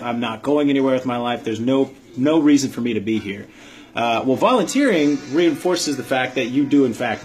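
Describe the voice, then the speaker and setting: A man hosting a vlog, giving motivational advice in an informal, earnest, explanatory way. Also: medium pitch. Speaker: vlog host, army veteran. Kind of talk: motivational advice talk to camera.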